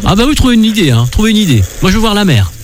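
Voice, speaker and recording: A man's voice: sits high, very animated, very fast. A man hosting a radio phone-in game, chatty and teasing with the caller. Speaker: radio host. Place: radio studio. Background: music bed.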